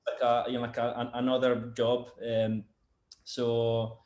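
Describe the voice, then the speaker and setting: Guest: man, mid-pitch, loose rambling delivery full of fillers, echoey room. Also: completely flat delivery, measured pace, a little mellow. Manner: rambling, many fillers and restarts. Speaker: sound engineer and product developer, guest. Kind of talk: casual interview chat. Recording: roomy echo.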